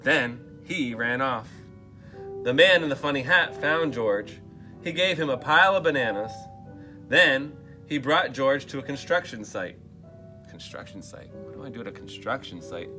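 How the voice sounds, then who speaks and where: Male read-aloud storyteller: bright, lively pitch swings, chatty asides to young listeners. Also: moderate pace, faint music behind. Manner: expressive, asks listeners questions in muttered asides. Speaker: teacher reading aloud to children.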